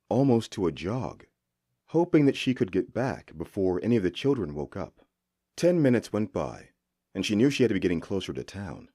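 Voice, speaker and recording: Very deep male horror story narrator. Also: dry recording, studio quality. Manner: suspenseful, expressive delivery. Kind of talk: scripted dramatic narration.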